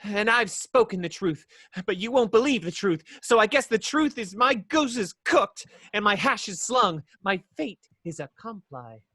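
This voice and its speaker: A woman's voice: mid-range voice, a little slow, breathy edge, dry recording, full character voice. A woman voicing a frantic, pleading, naive male cartoon rabbit in an amateur table read of a film script. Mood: earnest chatter. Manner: pleading, excitable.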